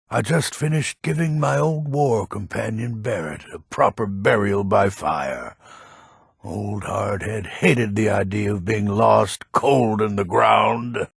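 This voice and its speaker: Gravelly, grumpy old fantasy warrior. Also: male voice, fairly low pitch, no room sound, studio quality, full character voice. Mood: solemn. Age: older. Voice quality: gravelly, husky. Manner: grumpy, weighty, deliberate. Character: gruff old Nord hunter, grumpy but honourable. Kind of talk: fantasy video game character acting.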